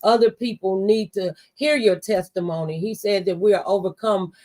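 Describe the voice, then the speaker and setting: A woman's voice: mid-range voice, moderate pace, mellow. A woman radio host talking conversationally in a preacherly, testifying way, offering faith-based encouragement. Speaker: radio talk show host.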